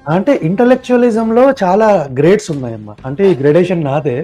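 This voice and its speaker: High-pitched, animated man explaining on a podcast. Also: fast talker, soft background music. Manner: didactic, opinionated, explains point by point. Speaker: podcast guest.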